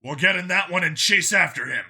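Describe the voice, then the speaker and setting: Casting: determined sci-fi soldier played by a male narrator, forceful and bright, giving urgent orders. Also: sits high, expressive, fairly quick, creaky, breathy edge, pitched above the performer's own voice, full character voice. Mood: commanding. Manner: forceful, commanding. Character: Vincent, determined young male soldier clone, gruff and urgent. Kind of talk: audiobook character voice in science fiction story.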